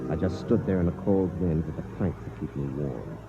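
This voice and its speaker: An American actor playing a weary, sardonic, hard-drinking army spaceship captain in an old-time radio drama. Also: male voice, low voice, a little fast, music in the background. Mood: weary, wry. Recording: tinny, noisy vintage radio recording.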